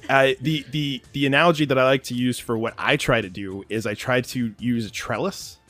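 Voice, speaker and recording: A man's voice: mid-range voice, fairly even intonation, moderate pace, fairly bright tone. A man speaking as a podcast guest about his writing, casual, reflective and self-deprecating. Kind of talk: casual reflective interview talk.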